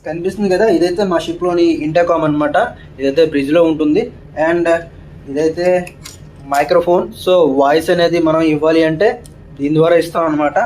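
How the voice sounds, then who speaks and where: Higher-voiced man explaining, noisy background. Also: fairly even intonation, moderate pace, a little mellow. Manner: step-by-step, explanatory, mixing in English terms. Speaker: seafarer vlogger. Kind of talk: casual explainer vlog with demonstration. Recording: clear ambient background noise.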